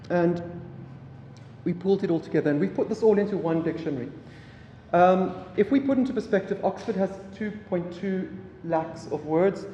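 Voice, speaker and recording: A man from South Africa, an Afrikaans speaker, giving a relaxed public lecture with halting phrasing and many fillers. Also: on the high side, slight background noise. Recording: echoey hall, mic from afar.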